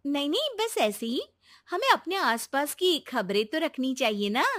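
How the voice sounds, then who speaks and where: A woman's voice: high-pitched, very animated, unhurried, fairly bright tone, no echo, studio quality, pitched above the performer's own voice, full character voice. A woman voicing a spirited young daughter-in-law in a cartoon, talking earnestly and persuasively.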